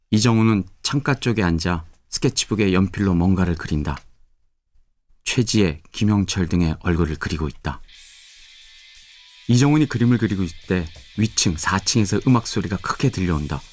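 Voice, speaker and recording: Brief: male narrator, very deep voice, measured and steady, soft music under. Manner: measured, even storytelling. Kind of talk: scripted audio drama narration.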